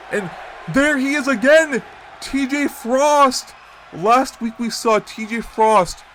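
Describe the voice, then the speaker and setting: A man with a stuffy nose and sore throat calling a wrestling match as host, excitable and jumping to shouts on big moves. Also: fairly high pitch, a little hiss or hum. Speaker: wrestling commentator and show host. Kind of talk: play-by-play commentary.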